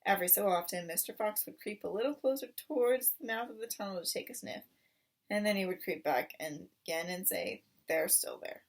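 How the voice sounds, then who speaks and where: A woman's voice: mid-range voice, fairly quick, far from the mic. A woman reading a children's book aloud as a storyteller. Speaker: storybook reader.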